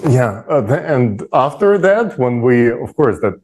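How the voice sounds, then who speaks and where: A man's voice: medium pitch, sing-song, measured pace, darkish tone. A man speaking enthusiastically and casually in an unscripted panel discussion, often repeating words for emphasis.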